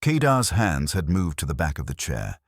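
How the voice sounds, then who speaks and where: Male narrator for detective audiobook, extremely low register, lively intonation, close dry sound. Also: moderate pace, no room sound. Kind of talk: scripted first-person detective narration.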